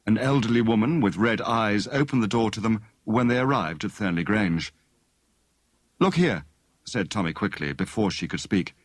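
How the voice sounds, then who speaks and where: A man's voice: on the low side, fairly quick, hardly any pauses, dry recording. A man giving scripted literary narration for an audiobook.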